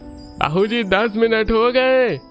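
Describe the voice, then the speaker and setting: A man acts out an anxious cartoon gardener in a high, strained-up voice. He is apologetic and servile. The sound is muffled, with music behind. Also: slow pace, fairly bright tone, full character voice. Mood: sheepish, apologetic. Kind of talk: cartoon story character acting.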